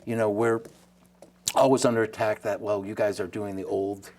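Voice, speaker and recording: A man's voice: fairly low pitch, little pitch movement, moderate pace, a little mellow. A man moderating a community media forum, asking questions in a chatty, easygoing way. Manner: chatty, laughs easily. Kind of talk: panel discussion.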